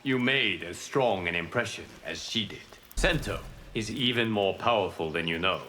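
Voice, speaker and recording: An actor playing a wise, solemn fire god in a fighting game, counseling in a measured, formal manner. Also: male voice, on the low side, sharp tone, slight background noise. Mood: solemn, advising. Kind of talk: video game pre-fight banter.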